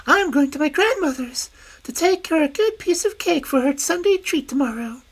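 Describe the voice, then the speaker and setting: A woman's voice: high voice, animated, normal speed, pitched above the performer's own voice. A woman voicing an innocent, trusting little girl who talks in a chirpy, wide-eyed way.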